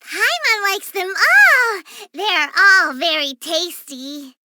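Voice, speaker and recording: A woman voicing a tiny childlike floating fairy companion in an anime game, squeaky, chirpy and exclamatory. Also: animated, slow, sharp tone, dry recording, studio quality, heavily acted. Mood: cheerful, showy. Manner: refers to self in third person, exclamatory.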